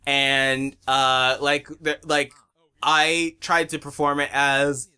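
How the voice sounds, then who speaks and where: Casting: man with a slightly high, very bright voice, giggly and excitable, co-hosting a casual commentary. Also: takes it slowly, clear, resonant tone. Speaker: series creator and editor on a commentary track. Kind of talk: casual group commentary, chatting with friends.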